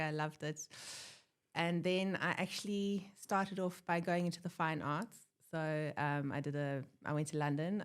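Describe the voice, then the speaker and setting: A woman's voice: deep voice, clean tone. A woman from Africa, telling her story as a guest in a casual interview, laughing often. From born in Germany, grew up in South Africa. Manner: chatty, laughs often, acts out quotes. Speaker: guest, airline pilot.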